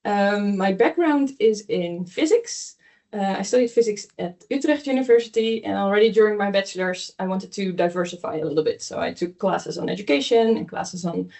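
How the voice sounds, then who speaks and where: A middle-aged woman, an education coordinator describing her background in a measured, explanatory way. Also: mid-range voice, creaky, a little mellow. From Netherlands.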